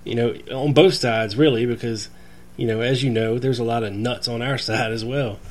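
Host: man, middle pitch, easygoing and chuckly, slight background hum. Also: steady tone, normal speed. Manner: friendly, chuckling, filler-heavy. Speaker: podcast host. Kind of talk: casual interview.

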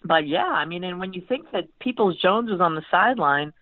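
An American woman talking sports as a guest analyst in a halting, conversational way, often repeating and rephrasing her words. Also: lowish voice, lively intonation, no echo. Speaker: sports beat writer, podcast guest. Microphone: phone call. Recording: tinny narrowband phone line.

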